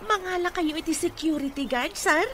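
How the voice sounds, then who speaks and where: A woman's voice: very high-pitched, a little fast, fairly bright tone, a little hiss or hum. An actress playing a concerned, insistent female co-worker at a mansion in a radio drama, with an Ilocano accent. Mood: urgent.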